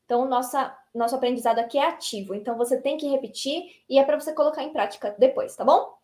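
A young Brazilian woman teaching English online from a small room, lively and encouraging, reading the English lines out slowly. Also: high-pitched. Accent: Brazilian Portuguese. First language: Portuguese.

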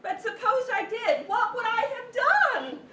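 High-pitched, frantic wife acted by a woman, echoey. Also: lively intonation, moderate pace, fairly bright tone. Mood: anxious, insistent. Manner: agitated, emphatic, repeats phrases. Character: frantic, exasperated wife whose home was burgled. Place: echoey room, far from mic. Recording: echoey room, distant mic, background noise.

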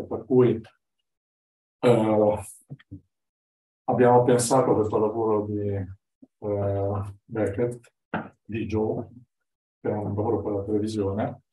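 An older man speaks as a lecturer, explaining things in hesitant, informal phrases. His voice is fairly low and very soft-edged. The microphone sits well away from him in the room. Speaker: guest lecturer. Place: indoor classroom with whiteboard. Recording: roomy, distant microphone.

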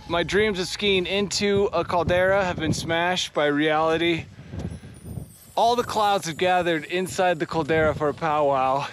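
A middle-aged man vlogging as he climbs a snowy mountain, with dry, self-mocking humor and a slightly breathless voice, filmed on a handheld action camera. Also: a little high. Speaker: ski vlogger. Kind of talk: vlog narration while climbing. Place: windy snowy mountainside outdoors. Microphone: action camera held at arm's length. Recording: loud wind noise on an outdoor camera mic.

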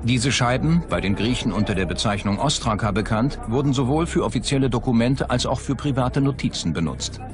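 A man's voice: medium pitch, lively intonation, fairly quick, hardly any pauses. A man narrating a documentary from a script. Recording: music behind the voice. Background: prominent background music.

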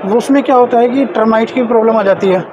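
A man's voice: very high voice, fast, highs cut off, background noise. A man interviewing an exhibitor in casual, polite Hindi-style chat, often summing up with "sir", recorded at a busy trade show. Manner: chatty, polite, sums up points with "sir". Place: busy indoor exhibition hall. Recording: crowd chatter behind.

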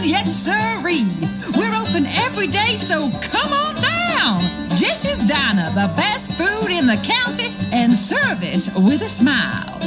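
An actress playing a perky, folksy country diner hostess in a cheery radio commercial, with an exaggerated drawl. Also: female voice, very high-pitched, heavily acted. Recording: loud music behind the voice.

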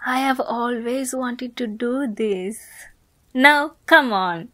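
Woman acting affectionate young girlfriend, very slow delivery, higher voice, soft and playful, ASMR romance roleplay. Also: dry recording. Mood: happy, loving. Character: teasing, affectionate young woman confessing to her first love.

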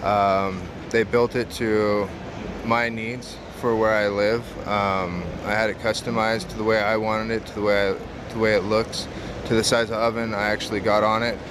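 Lowish-voiced man in a noisy expo hall. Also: normal speed. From San Diego, California. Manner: relaxed, frequent "um" fillers, earnest. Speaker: mobile pizza business owner, interview guest. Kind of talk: casual interview answers. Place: large indoor trade show hall. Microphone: camera close to face.

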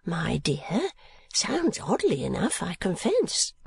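A woman voicing a shrewd, chatty older female miniature painter in a high, gossipy, exclamatory voice. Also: huge pitch swings, slow pace, gravelly, slightly rough, full character voice.

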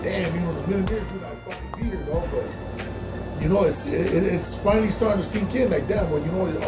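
A middle-aged man with a high-set voice chats casually as an interviewee, swearing freely and leaning on slangy filler. The room rings around him and music plays clearly behind. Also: distant mic. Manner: slangy, profane, peppered with "dude", "dog" and "you know". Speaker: rapper and actor being interviewed. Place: small home recording studio, indoors. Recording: roomy, off-mic, music behind.

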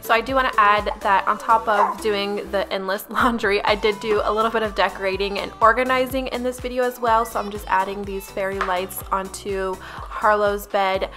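A woman's voice: mid-range voice, fairly even intonation, normal speed, a bit bright, soft background music. A young woman talking casually over her cleaning footage, chatty and upbeat, speaking straight to her viewers. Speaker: lifestyle vlogger.

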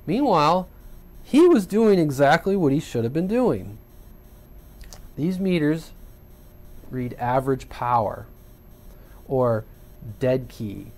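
A man's voice: on the high side, very animated, takes it slowly, pauses often, darkish tone. Folksy middle-aged man at a radio bench. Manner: folksy, rambling, off the cuff. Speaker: CB radio channel host. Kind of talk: casual hands-on tutorial. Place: home radio workbench, indoors. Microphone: desk mic off camera.

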